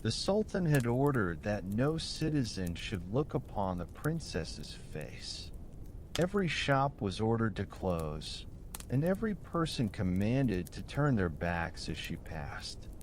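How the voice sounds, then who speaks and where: A man narrating a bedtime story in a soothing, measured, deliberate storybook style. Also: fairly low pitch, sing-song, slight background noise.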